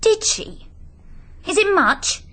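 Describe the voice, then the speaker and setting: A woman's voice: very high voice, very animated, takes it slowly, bright, forceful tone, heavily acted. An English woman in a British radio drama playing a scatterbrained, breezily greedy young wife, artless and chatty. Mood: eager, grasping.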